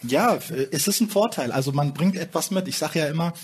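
Earnest husky man in debate. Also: a little high, lively intonation, fairly quick. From Germany. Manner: earnest, reflective, with hesitant restarts. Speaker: panel guest, author. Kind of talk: talk show discussion.

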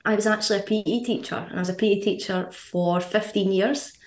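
A woman in her thirties with a Scottish accent, chatting as a guest on a podcast, quick and full of fillers. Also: medium pitch, steady tone, darkish tone, echoey room. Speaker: guest, fitness coach. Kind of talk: casual podcast interview.

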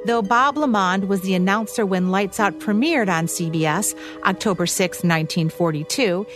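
A woman's voice: medium pitch, normal speed. American lady co-hosting a radio show, scripted read, music under her voice. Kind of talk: scripted narration.

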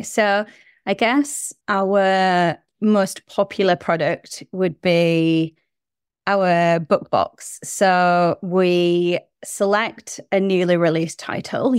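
Lower-voiced British woman, chatty guest. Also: clear, resonant tone, no echo, clean studio sound. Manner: chatty and enthusiastic, laughs easily. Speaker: guest, small business owner. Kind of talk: casual interview chat.

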